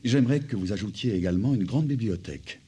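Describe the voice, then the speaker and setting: Actor as a deep, mellow gentleman father. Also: lively intonation, slight background noise, lightly acted. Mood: courteous, fond. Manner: polite, formal, measured. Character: courteous, doting well-to-do gentleman father. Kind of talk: French cartoon dub acting.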